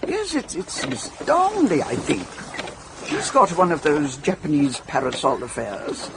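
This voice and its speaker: An actress playing a brisk, opinionated, practical English woman in a radio drama, with a no-nonsense manner. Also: low-pitched, huge pitch swings, background noise, exaggerated voice. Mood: brisk, chatty.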